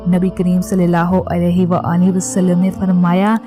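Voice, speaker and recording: A woman reads a scripted religious narration as a storyteller, in a measured, solemn manner. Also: lowish voice, completely flat delivery. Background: music.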